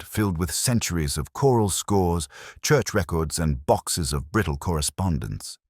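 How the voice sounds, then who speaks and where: Very deep-voiced man narrating a mystery. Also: a little mellow, slightly rough, no room sound, clean studio sound. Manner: solemn, literary, measured storytelling. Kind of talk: scripted first-person audio mystery narration.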